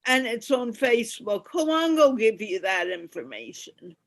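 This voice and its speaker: A woman's voice: a little high, very animated, unhurried. A woman hosting an online meetup, interviewing guests conversationally over a video call. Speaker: host.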